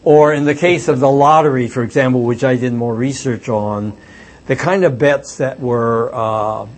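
A man's voice: mid-range voice, moderate pace. Hesitant older man telling stories. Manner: reflective, hesitant storytelling with many fillers. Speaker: author and former reporter. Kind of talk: book talk with audience questions.

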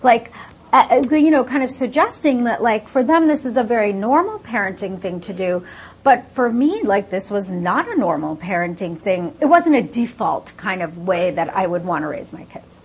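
A woman's voice: medium pitch, animated, moderate pace, breathy edge. A woman, born in India and raised in the United States, explaining her research fluently in a casual interview with many "like" fillers.

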